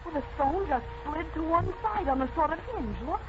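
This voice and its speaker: A woman's voice: sits high, animated, normal speed. An actress playing a curious, eager young woman, the hero's companion, in 1940s radio style. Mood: excited. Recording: muffled, noisy old radio broadcast.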